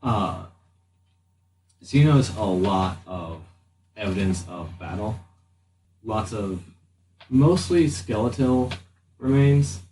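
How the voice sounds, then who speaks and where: A man acting as game master, narrating a tabletop game in an improvised, halting way as he thinks aloud. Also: lowish voice, lively intonation, mellow. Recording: slightly distant mic, faint room noise.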